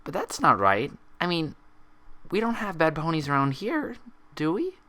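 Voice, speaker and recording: A man acts out a childlike female cartoon pony, pitched far above a normal male voice. The delivery is fragile and halting, with stammers and a pleading tone, as in a dramatic story reading. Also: huge pitch swings, sharp tone, full character voice. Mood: slow dawning worry.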